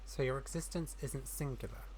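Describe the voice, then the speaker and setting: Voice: medium pitch, a little fast, a little mellow, a little hiss or hum, low-quality recording. A gaming streamer giving casual, offhand let's play commentary with playful asides.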